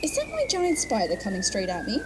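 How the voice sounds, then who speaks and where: A woman's voice: a little high, wildly swooping pitch, a little fast, noisy background. An actress playing a villainous female genie in an audio drama, taunting and theatrical, playful but menacing. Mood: mocking.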